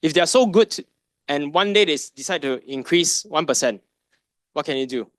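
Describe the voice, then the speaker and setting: A man's voice: a little high, animated, moderate pace, fairly bright tone, no room sound, studio quality. A man presenting a masterclass in a conversational way, often tagging "right?" and asking rhetorical questions. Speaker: masterclass presenter, finance advisor. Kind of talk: conference lecture.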